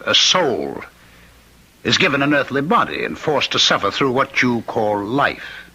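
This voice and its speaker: A man's voice: mid-range voice, bright, forceful tone, slightly rough, exaggerated voice, low-quality recording. An actor playing a condemned killer in a radio drama, taunting and insistent, articulate and knowing as he claims an undying murderous spirit. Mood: brooding, intense. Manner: articulate, knowing, needling, measured pauses.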